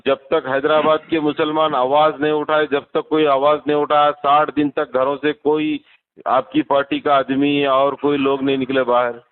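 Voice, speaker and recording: A man's voice: fairly high pitch, level tone, moderate pace, clean tone. A man with a Hyderabadi accent on a recorded phone call, arguing insistently and in an accusatory way. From Hyderabad, India. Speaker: caller. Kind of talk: argumentative phone conversation. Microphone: telephone.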